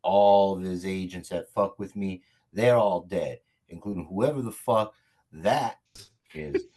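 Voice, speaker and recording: A man acting a cocky, vengeful action hero in a comedy table read, with a husky voice and defiant speechifying. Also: low voice, a little slow, warm tone. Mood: vengeful, menacing.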